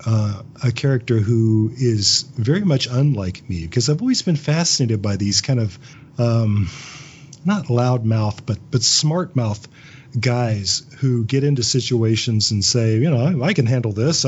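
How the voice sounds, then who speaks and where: A man's voice: medium pitch, expressive, moderate pace, darkish tone, muffled recording, a little hiss or hum. A man speaking as a writer and voice actor being interviewed, thoughtful and conversational, acting out imagined lines as he explains. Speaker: interview guest, audio drama writer and voice actor.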